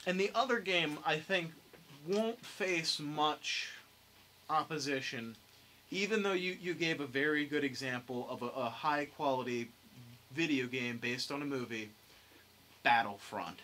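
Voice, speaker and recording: A young man with a bright, forceful voice, pitched a little high. He talks casually and emphatically about games as a podcast panelist. The amateur recording has a faint layer of noise behind him. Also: measured pace. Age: young adult. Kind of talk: casual gaming discussion.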